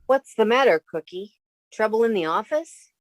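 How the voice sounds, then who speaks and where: A woman's voice: fairly high pitch, lively intonation, normal speed, over music, natural voice. A woman playing a sensible, weary young roommate, caring and wry, in a 1950s-style radio comedy. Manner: motherly, exasperated, wry.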